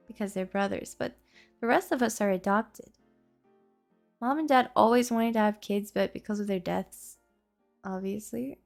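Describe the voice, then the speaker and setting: A woman's voice: medium pitch, gravelly, close to an everyday voice. A woman playing a sweet, flustered young woman in a scripted roleplay drama, speaking hesitantly and affectionately, easily exasperated. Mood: apologetic, reassuring. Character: sweet flustered young woman introducing her ghost family to a partner. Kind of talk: scripted roleplay audio drama, one-sided conversation.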